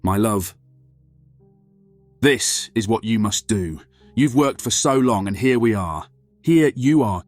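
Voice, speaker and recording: A man playing a self-interested vampire councilman who speaks in a measured, formal, persuasive way. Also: on the low side, expressive, dry recording. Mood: smoothly persuasive. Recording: dry recording over music.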